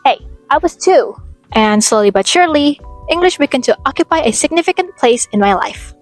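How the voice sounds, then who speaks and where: Young woman, fairly high voice. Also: lively intonation, a little fast, no echo. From Indonesia. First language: Indonesian. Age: young adult. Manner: casual, frequent "like" fillers. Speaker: vlogger. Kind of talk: scripted vlog narration. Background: faint lo-fi music.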